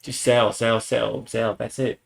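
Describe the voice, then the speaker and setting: A man's voice: medium pitch, little pitch movement, a little slow, creaky. A young man streaming alone, ranting bluntly and with lots of profanity in a casual monologue. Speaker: livestreamer.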